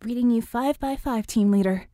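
A woman voicing a brisk, urgent female tech dispatcher for a superhero team in an audiobook, clipped and commanding. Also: fairly high pitch, warm tone, no echo, studio quality, close to an everyday voice. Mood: formal.

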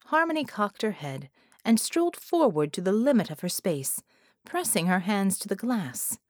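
Expressive woman narrator, dry close sound. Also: mid-range voice, moderate pace, dry recording. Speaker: audiobook narrator. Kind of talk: scripted fiction narration with character voices.

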